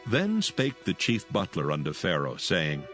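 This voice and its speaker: A man's voice: low voice, wildly swooping pitch, a little slow, a bit bright. A man narrating scripture as a dramatized reading, in a solemn and formal manner. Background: soothing background music.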